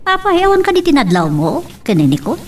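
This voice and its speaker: Lively-voiced fussing mother. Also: high voice, a little fast, slight background noise. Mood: concerned, chatty. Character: fussing middle-aged mother, caring and watchful. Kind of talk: Philippine radio soap acting.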